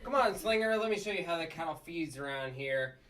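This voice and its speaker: A man's voice: a little high, animated, brisk, a bit bright, far from the mic. A man playing a short-tempered, bossy puppet district attorney in a sitcom table read, blustering and tripping over his words. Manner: blustering, stumbles and repeats words.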